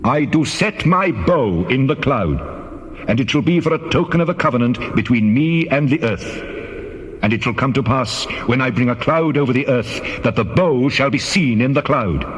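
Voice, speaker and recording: A solemn divine voice, performed by a man for a scripture drama, rings out through a long reverb. He declaims each decree in a measured, commanding way. The pitch is a middling male one, wrapped in heavy echo. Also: lively intonation, natural voice. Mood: solemn, authoritative.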